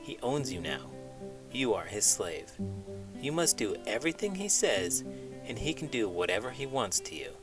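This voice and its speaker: A man, a teacher, reading a children's book aloud in an expressive storytelling manner. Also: medium pitch, moderate pace. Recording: music playing behind.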